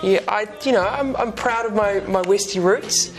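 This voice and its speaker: A man's voice: high-pitched, normal speed. Young kiwi guy in his twenties, laid back and rambly, lots of ums, music going under him on a tv couch. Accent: New Zealand. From New Zealand. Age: 20s. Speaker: musician guest. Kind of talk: casual interview chat. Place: TV studio couch.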